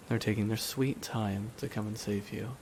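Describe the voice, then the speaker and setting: A man playing a bitter, weary supervillain in a dramatic ASMR audio roleplay, speaking deliberately with long pauses to a dying nemesis. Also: fairly low pitch. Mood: scornful. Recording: steady rain noise behind the voice. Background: rain falling on surfaces.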